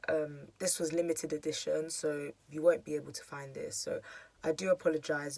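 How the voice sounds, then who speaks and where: Hoarse young woman, low and slow. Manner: chatty, filler-heavy, self-deprecating. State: hoarse, losing her voice. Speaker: beauty vlogger. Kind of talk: casual makeup tutorial talk.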